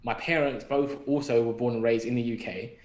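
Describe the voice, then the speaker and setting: An echoey room surrounds this young man with a London accent. He talks at a middle pitch in a casual podcast style, thinking aloud and often putting questions to himself. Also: fairly even intonation, brisk. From London, England. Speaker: podcast speaker. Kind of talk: casual reflective podcast chat. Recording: roomy echo on the voice.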